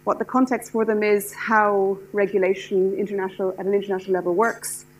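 A middle-aged woman from Ireland with an Irish accent, lecturing a class in a hall, talking fast and eagerly with many fillers and restarts. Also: mid-range voice, little pitch movement, a little hiss or hum. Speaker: lecturer, standards specialist. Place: lecture hall with seated audience.

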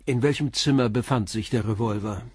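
An actor playing a calm police inspector who questions a suspect with short, probing questions in a radio play. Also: male voice, medium pitch, a little fast, husky, no echo, studio quality, close to an everyday voice.

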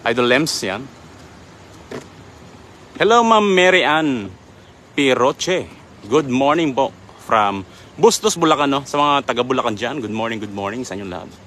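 A man's voice: mid-range voice, animated, measured pace, long pauses, fairly bright tone, slight background noise. A young man hosting a live fishing stream outdoors by a river, greeting viewers by name in a chatty, polite manner, speaking into a handheld phone. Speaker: livestream host.

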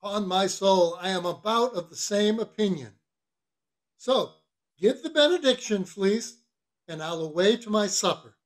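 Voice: medium pitch, expressive, a little slow, lightly acted. A reader voicing a bossy, mocking whaling-ship officer in an audiobook, questioning with teasing mock solemnity. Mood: commanding. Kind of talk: literary audiobook character reading.

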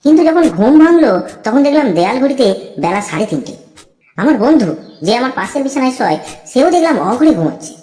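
A woman narrating a scripted first-person horror story in a dramatic, deliberate storytelling manner. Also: high voice, very animated, warm tone, roomy sound.

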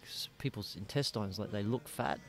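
A man's voice: lowish voice, moderate pace, soft background music. An Australian man hosting a casual podcast chat in a small studio, talking in a rambling way and swearing freely. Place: small dim studio room. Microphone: studio mic on boom arm, headphones.

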